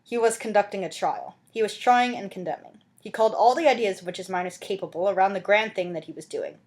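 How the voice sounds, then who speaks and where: A woman's voice: mid-range voice, fast, a little mellow. A woman reading a classic novel aloud as an amateur audiobook narrator, with dramatic scripted delivery.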